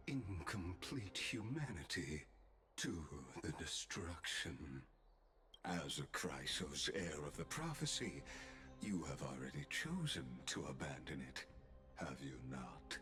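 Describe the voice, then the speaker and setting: An actor playing a sinister, grandiose court counselor in a video game, with theatrical, silky formality and breathy, half-whispered phrase endings. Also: male voice, low voice, sharp tone, full character voice. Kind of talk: dramatic video game voice acting.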